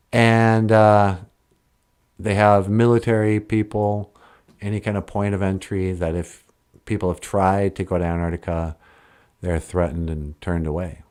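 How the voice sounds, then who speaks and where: A man's voice: fairly low pitch, a little mellow. A man chatting on a livestream and answering his chat in a rambling way, often trailing off and correcting himself mid-sentence. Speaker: live streamer answering chat.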